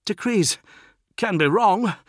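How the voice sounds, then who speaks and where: A man's voice: on the high side, lively intonation, normal speed, no echo, studio quality, pitched above the performer's own voice, close to an everyday voice. A man voicing an arrogant young warrior acolyte in a science fiction audiobook, insolent and taunting with fanatical belief. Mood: defiant.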